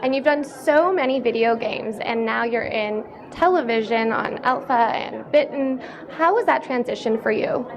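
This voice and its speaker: Young woman host, upbeat scripted questions, a bit high, crowd chatter behind. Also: moderate pace, hardly any pauses. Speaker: entertainment host. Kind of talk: red carpet interview questions. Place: awards red carpet.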